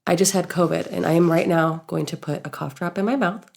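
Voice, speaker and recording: A woman's voice: lowish voice, fairly even intonation, a little fast, creaky, a little mellow. A young woman co-hosting a casual podcast, sounding nasal and stuffed up from illness, playful and giggly. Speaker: podcast co-host, healing coach.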